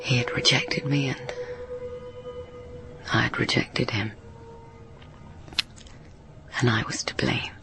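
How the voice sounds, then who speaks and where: An actress playing a guilt-haunted signalman's daughter in a radio drama monologue, recalling in a breathy, hushed, halting voice. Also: rumbling low, fairly even intonation, music in the background, exaggerated voice. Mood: haunted, remorseful. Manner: slow, halting recollection.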